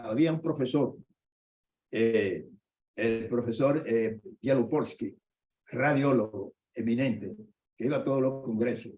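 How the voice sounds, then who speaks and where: An older man, an orthopedic doctor giving a conference lecture in a lively storytelling way. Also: mid-range voice. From Dominican Republic. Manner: conversational, animated storytelling with asides. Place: conference hall. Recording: echoey hall, dull lo-fi sound.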